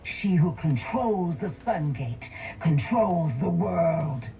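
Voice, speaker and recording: An actress voicing a cold, calculating, wealthy woman crime baron in a video game, smug, measured and condescending. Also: on the low side, expressive, creaky, mellow, faint music behind, full character voice. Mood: cool, calculating.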